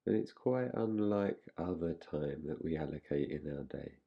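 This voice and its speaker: Male meditation guide, deep creaky voice, unhurried phrasing with long pauses, slightly dull recording.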